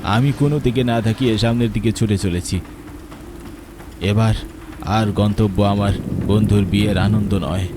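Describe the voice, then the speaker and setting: A man's voice: fairly low pitch, fairly even intonation, normal speed, long pauses, background noise. A man narrating a horror story in a dramatic, fearful way.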